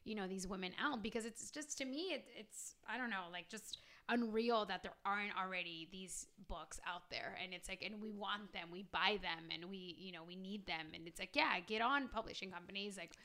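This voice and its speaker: A woman's voice: mid-range voice, moderate pace, few pauses, pushed and bright. A woman hosting a podcast, chatty and enthusiastic as she asks her guest questions. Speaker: podcast host. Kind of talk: casual podcast interview.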